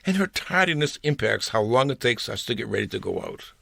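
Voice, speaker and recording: Lively, gravelly older man telling his story. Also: medium pitch, a little fast, dry recording, clean studio sound. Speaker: writer reading own personal essay. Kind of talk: scripted humorous personal storytelling.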